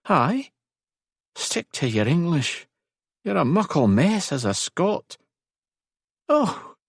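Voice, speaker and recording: A man's voice: high-pitched, very animated, a little fast, a little mellow, breathy edge, no echo, studio quality, pitched above the performer's own voice, heavily acted. A man voicing a spirited, curious, stubborn young English noblewoman in an audiobook. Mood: teasing. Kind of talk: audiobook character dialogue.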